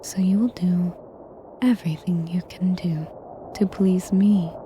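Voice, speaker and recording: A woman playing a sadistic, possessive female dragon in an intimate audio roleplay, speaking in a measured, formal, breathy and commanding way. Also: lowish voice, steady tone, dark tone, a little hiss or hum, close to an everyday voice. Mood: commanding, menacing. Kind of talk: slow, intimate audio roleplay addressed to the listener.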